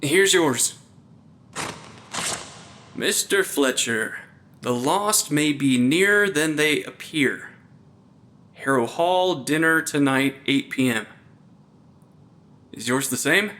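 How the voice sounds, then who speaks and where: Man acting a nerdy investigator, bright sharp voice, dry quips, slightly pompous, jittery, audio drama banter. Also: a little high, lively intonation, slow, pauses often, heavily acted. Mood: wry, intrigued.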